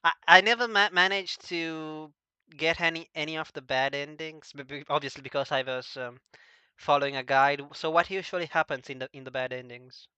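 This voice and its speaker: A man's voice: on the high side, steady tone, normal speed, bright, forceful tone, clear, resonant tone, no room sound, dull audio. A man hosting a casual chat, speaking very hesitantly and stammering over the starts of words. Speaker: host of a visual novel talk stream.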